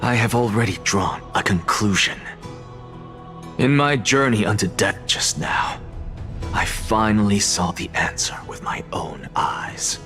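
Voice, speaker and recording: A proud, blasphemous scholar from a video game, speaks in a low register. He takes his time over each phrase with grand, deliberate theatricality, and music plays clearly underneath. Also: pushed and bright. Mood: grandiose conviction. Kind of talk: dramatic video game voice acting.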